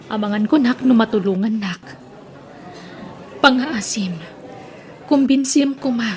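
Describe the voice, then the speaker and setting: Lady doing a struggling young mom asking for help, kinda high voice, hesitant and begging. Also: lightly acted. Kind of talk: Ilocano radio-style drama acting.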